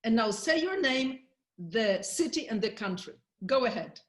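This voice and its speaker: A woman's voice: fairly high pitch, animated, moderate pace, reverberant. A woman hosting and presenting an online webinar over a video call, friendly and guiding, laughing often. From Poland. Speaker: webinar host and speaker.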